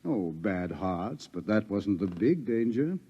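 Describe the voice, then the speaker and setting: A grave scientist in a lo-fi 1950s radio play, lecturing in a measured, ominous tone. His mid-pitched voice rises and falls with lively emphasis. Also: slightly rough, heavily acted. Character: grave older scientist warning of doom. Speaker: doctor. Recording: dull 1950s radio broadcast.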